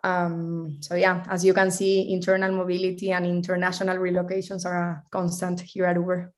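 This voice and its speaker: Flat-toned woman from Argentina, steady. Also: on the low side, a little fast. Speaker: guest. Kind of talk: podcast interview.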